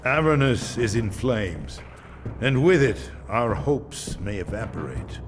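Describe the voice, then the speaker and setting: An actor playing an ancient, weary vampire swordsmith in a video game, solemn and portentous in delivery. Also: male voice, fairly low pitch, sing-song, slow. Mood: urgent, foreboding. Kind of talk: dramatic video game cutscene acting. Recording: music behind the voice.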